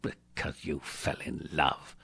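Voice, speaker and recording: Casting: male reader as sour old storybook miser, stern and growling, husky edge, very dry sound. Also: sits low, very animated, unhurried, dry recording, exaggerated voice. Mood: stern, dismissive. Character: grumpy, sour old miser uncle who scorns Christmas.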